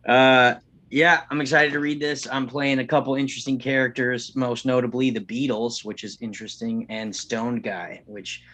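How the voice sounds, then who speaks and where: A man's voice: mid-range voice, flat delivery, normal speed, sharp tone. A man chatting casually in a jokey manner as a cast member. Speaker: actor in a table read. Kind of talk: casual chat.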